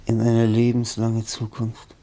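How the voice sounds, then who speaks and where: An actor in a radio play as a dying, feverish, broken writer, husky and gravelly, speaking in halting fragments. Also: male voice, mid-range voice, monotone, dark tone, close to an everyday voice. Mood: weary, bitter. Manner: halting, murmuring, fragmented speech.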